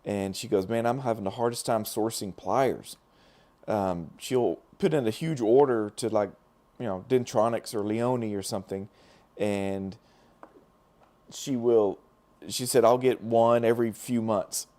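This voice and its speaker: A middle-aged man, hoarse and losing his voice, hosting a casual live stream as a dental lab technician, rambling with fillers and restarts while he works and talks to viewers. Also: lowish voice, measured pace, warm tone.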